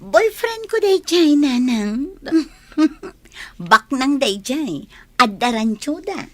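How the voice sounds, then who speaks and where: A boastful, ambitious young village woman with an Ilocano accent. Her voice is very high and swoops widely, drawn out slowly in exclamatory radio drama style. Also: very animated, no room sound, heavily acted. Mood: boastful, pleased. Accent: Ilocano, from the Philippines. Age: young adult.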